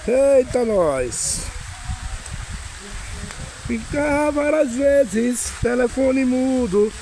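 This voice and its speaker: A hoarse man, excitedly exclaiming as he films his farm outdoors in the rain on a phone. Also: extremely high pitch, very slow, slight background noise, poor audio. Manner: excited, exclaiming and singing along to music. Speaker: vlogger filming his farm. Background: music playing.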